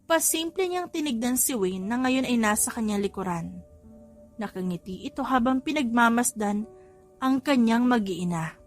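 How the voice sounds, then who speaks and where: High-pitched woman narrating an audiobook. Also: lively intonation, normal speed, faint music behind. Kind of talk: scripted story narration.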